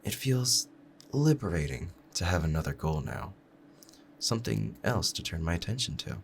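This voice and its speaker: A man playing a calm, proud assassin in love, both menacing and tender, talking to the listener in a measured, formal, confiding way in an audio roleplay. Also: low-pitched, slight background noise. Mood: tender, regretful. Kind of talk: scripted romantic ASMR audio roleplay.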